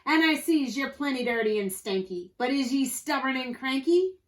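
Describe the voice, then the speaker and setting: A woman voicing a gruff, short-tempered male pirate captain in a children's storybook, bellowing in folksy pirate slang. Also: high voice, animated, moderate pace, voice pushed up. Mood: demanding, boastful.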